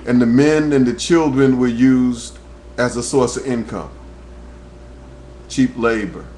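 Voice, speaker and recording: A man's voice: medium pitch, slow, dark tone, a little hiss or hum, poor audio. Earnest man on a webcam. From New Jersey, USA. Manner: earnest, preacherly, rising when telling his story. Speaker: talk radio host. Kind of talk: webcam monologue. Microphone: webcam microphone.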